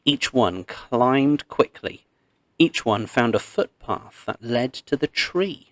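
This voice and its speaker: A man reading a myth aloud as a storybook narrator, expressive and measured, with pauses. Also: medium pitch, dry recording.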